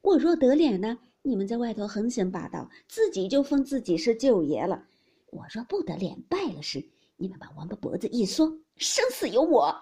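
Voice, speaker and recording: A woman's voice: fairly high pitch, sing-song, moderate pace, mellow, slightly rough. A woman voicing an angry young maidservant who scolds sharply and tearfully. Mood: furious.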